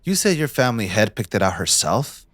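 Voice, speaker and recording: Low, condescending young mafia heir acted by a man. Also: very animated, brisk, a bit bright, dry recording. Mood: feigned courtesy. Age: young adult. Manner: condescending, smoothly taunting, theatrically polite. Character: possessive, manipulative young male mafia heir, mocking and menacing. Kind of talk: scripted ASMR audio roleplay addressed to the listener.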